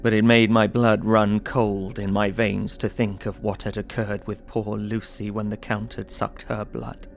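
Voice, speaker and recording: A man's voice: lowish voice, flat delivery, a little slow, creaky, few pauses, faint music behind. An actor playing an earnest, anxious young solicitor, narrating his diary. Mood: anxious, sombre.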